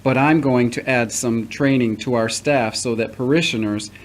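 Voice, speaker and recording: An actor playing a patient, fatherly middle-aged priest in an audio drama, speaking in a measured, formal way. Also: medium pitch, close to an everyday voice. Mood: reassuring. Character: returning priest, patient, paternal, earnest, formerly accused. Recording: noticeable background noise.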